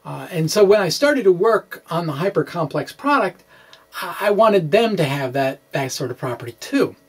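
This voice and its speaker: A middle-aged man presenting a blog aloud with rambling asides, speaking in a halting, hesitant way and often correcting himself. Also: on the high side, big pitch swings, husky. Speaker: physics blogger and vlogger. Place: small indoor room with bookshelves.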